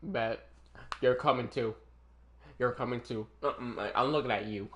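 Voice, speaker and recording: A man's voice: medium pitch, measured pace, a bit bright, a little hiss or hum. A young man streaming a game late at night, joking playfully and bantering with the characters on screen. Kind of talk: casual live-stream commentary.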